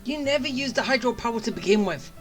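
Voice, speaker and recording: A woman giving casual, halting gameplay commentary and talking back to the characters. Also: fairly high pitch, animated, faint music behind. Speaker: gameplay streamer reacting to story.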